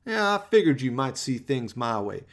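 High, brash, sleazy gang boss. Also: male voice, very animated, moderate pace. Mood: mocking menace. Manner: mocking, swaggering, slangy threats and whoops. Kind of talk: comic radio play.

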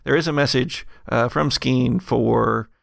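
Game master, male, heavy vocal fry, halting improvised narration, very dry close sound. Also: mid-range voice, fairly even intonation, a bit bright, dry recording.

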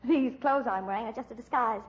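A woman's voice: fairly high pitch, brisk, lightly acted. A woman acting a plucky, scatterbrained young newspaper reporter in a radio comedy-mystery, chatty, naive and flustered. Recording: dull lo-fi recording.

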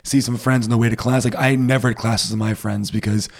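A man with an American accent talks very quickly at a middling pitch. His style is casual chat, informal and given to joking. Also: steady tone.

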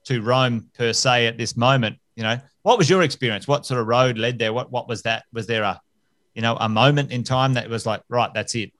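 A man hosts a podcast and works his way into each question with plenty of fillers and restarts. His voice sits at an average middle pitch. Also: fairly bright tone. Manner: halting, filler-heavy questions. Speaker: podcast host. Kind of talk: interview questions.

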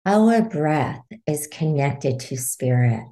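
A woman leading a guided meditation as a podcast host, giving soothing, unhurried instructions. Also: low-pitched, expressive, warm tone.

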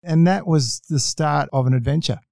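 A man's voice: a little high, moderate pace, vocal fry, darkish tone, no room sound, clean studio sound. A man hosting a podcast interview, asking questions and giving short, encouraging affirmations. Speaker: podcast host.

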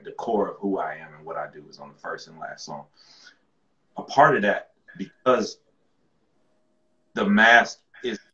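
Man from with a low, deep voice, relaxed slangy guest; muffled lo-fi sound in an echoey room. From rural North Carolina. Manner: laid-back, slangy, repeats words as he restarts. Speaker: guest rapper. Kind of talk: casual interview chat.

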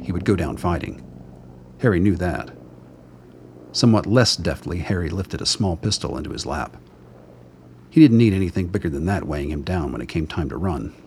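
A man's voice: deep voice, slight background noise. A man narrating a scripted radio drama in a dramatic storytelling style. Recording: background ambience under narration.

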